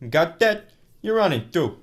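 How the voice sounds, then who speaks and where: A man's voice: on the high side, very animated, measured pace. A man playing a blunt, casual TV show staffer in a radio drama, informal and matter-of-fact. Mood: briskly instructing. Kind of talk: high school radio drama acting.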